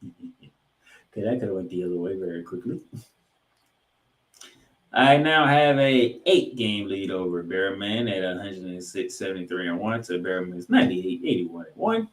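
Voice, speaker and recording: A man's voice: deep voice, expressive, a little slow. Roomy-sounding man hosting a sports podcast. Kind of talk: sports recap commentary with stats. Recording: roomy, echoing room sound.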